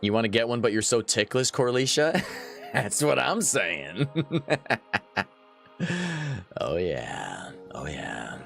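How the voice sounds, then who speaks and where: A man in his thirties talks casually over a game, pitching low but swinging his voice up and down with plenty of life. He laughs easily and chats straight back to his viewers, while faint music plays underneath. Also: big pitch swings, slow, gravelly, long pauses, a bit bright. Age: 30s. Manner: chatty, laughs often, answers chat. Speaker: gaming livestreamer. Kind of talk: casual live gameplay chat.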